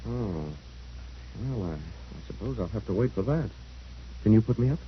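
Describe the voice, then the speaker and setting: A man plays an apologetic, sheepish friend in a vintage radio play. His voice is low and his delivery falters. The old recording is muffled and faintly noisy. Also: lively intonation, moderate pace, natural voice. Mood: casual, awkward. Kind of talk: old-time radio drama acting.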